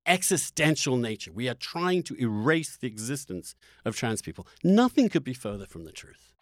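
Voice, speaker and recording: A man hosting a discussion, making an argument in an articulate but halting way, with mocking quotation of opponents. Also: medium pitch, big pitch swings, no echo, clean studio sound. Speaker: host.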